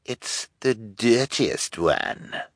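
A man voicing a sneering, menacing villain who poses as a detective in an audiobook, with a drawn-out, gloating delivery. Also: fairly low pitch, animated, fairly bright tone, no room sound, clean studio sound, pitched above the performer's own voice, heavily acted. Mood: smug menace. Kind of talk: audiobook character voice.

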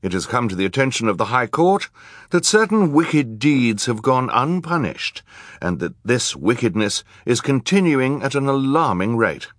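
Man playing a stern, high-pitched woman judge. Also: very animated, moderate pace, no echo, clean studio sound, close to an everyday voice. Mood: formal. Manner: formal, commanding, firm. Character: stern, authoritative woman judge presiding over a courtroom. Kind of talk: audiobook character acting.

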